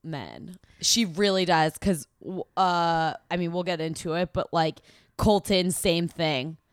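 A woman's voice: on the low side, measured pace, smooth, no room sound, studio quality. A woman co-hosting a podcast in casual chat, losing her voice from a cold, talking chattily and laughing often. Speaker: podcast co-host.

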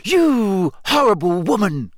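A man's voice: a little high, big pitch swings, normal speed, dry recording, clean studio sound, voice pushed up. A man voicing a clever, bossy teenage schoolgirl from a storybook, indignant and emphatic. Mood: furious. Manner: emphatic, sharp-tongued.

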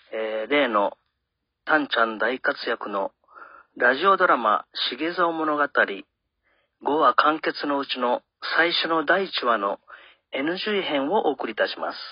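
A man's voice: medium pitch, slightly rough. A man hosting and narrating on a radio program, presenting in a scripted style. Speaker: radio host and drama narrator. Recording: dull lo-fi radio sound, faint music.

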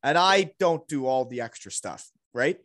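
Man, interviewer, casual and jokey, animated intonation, dry close sound. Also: mid-range voice, moderate pace, no echo. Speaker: interviewer, host.

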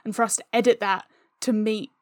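A woman's voice: mid-range voice, steady tone, brisk, dry recording, studio quality. A woman guest chatting casually, wry and anecdotal, acting out remarks as she tells stories. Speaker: interview guest, audio drama creator. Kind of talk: casual chat.

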